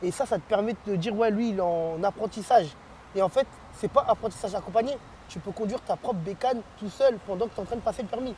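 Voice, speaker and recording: Young banlieue guy, high voice, talks super fast, keeps saying "you see", bit of noise behind. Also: poor audio. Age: 20s. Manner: animated storytelling, frequent "tu vois". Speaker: interview guest. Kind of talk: casual street slang chat.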